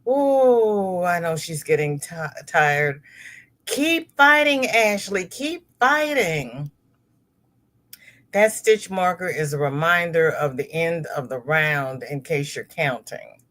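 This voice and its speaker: A woman's voice: fairly low pitch, lively intonation, slow, fairly bright tone. A middle-aged woman hosting a casual crochet livestream, reading viewer comments aloud and greeting people by name.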